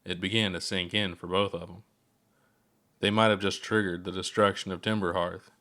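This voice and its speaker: A man's voice: low voice, little pitch movement, moderate pace, bright, forceful tone. A man narrating his own written story in a scripted audiobook style. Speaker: author reading own story.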